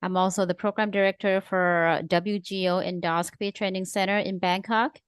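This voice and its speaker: Middle-aged woman from Thailand, smooth clear voice, dry close sound, polite panelist. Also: medium pitch, steady tone, normal speed, no room sound. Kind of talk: polite spoken self-introduction.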